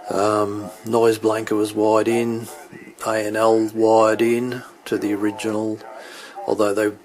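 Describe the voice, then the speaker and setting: A man with a fairly low voice and very little movement in pitch. He talks like a hobbyist walking someone through his gear without a script. His delivery is halting and hesitant, as if working out each point while he speaks. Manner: halting, hesitant, thinking aloud. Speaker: hobbyist demonstrating his radio equipment. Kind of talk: unscripted hands-on equipment walkthrough.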